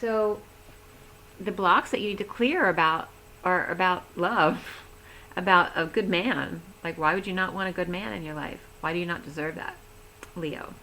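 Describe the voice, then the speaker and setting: A woman's voice: lowish voice, normal speed, sharp tone, a little hiss or hum, rough recording. Chatty woman giving a tarot reading. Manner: chatty, rambling, laughs easily. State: losing her voice. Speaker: tarot reader. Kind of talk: casual tarot reading to camera.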